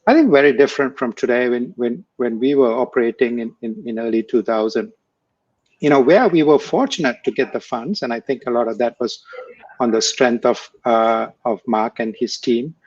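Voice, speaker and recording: Man, measured and dry-sounding. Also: medium pitch, darkish tone, husky, no room sound, highs cut off. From Malaysia. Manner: measured, hesitant with many fillers. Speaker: guest, former startup executive. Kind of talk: conversational interview answer.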